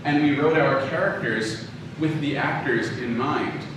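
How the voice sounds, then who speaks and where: A man giving an informal public lecture in a conversational, halting way, often restarting his phrases. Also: a little high. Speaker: lecturer, audio drama producer. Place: indoor room talk before an audience. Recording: very echoey room, distant mic, noisy.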